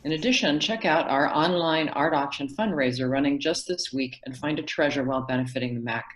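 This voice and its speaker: A woman's voice: deep voice, brisk, low-quality recording. A woman giving a scripted welcome address as president of an arts organization's board.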